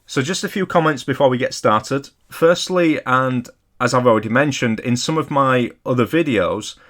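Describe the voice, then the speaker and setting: Measured, modest man narrating a lecture. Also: medium pitch, fairly bright tone. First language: not Polish; English speaker. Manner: measured, modest, careful explanatory delivery. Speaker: amateur hobbyist video host.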